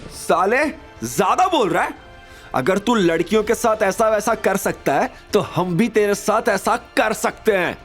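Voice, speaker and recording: A man voicing an excitable, grovelling henchman who can turn bully, in an audio drama. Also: sits high, a little slow, faint music behind, pitched above the performer's own voice. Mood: aggressive, shouting. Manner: stammering, pleading. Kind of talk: Hindi audio drama acting.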